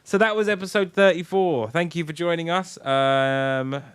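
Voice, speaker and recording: A man's voice: fairly high pitch, normal speed, a bit bright, smooth, dry recording. A man co-hosting a comedy podcast, rambling and swearing casually while drunk. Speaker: podcast co-host.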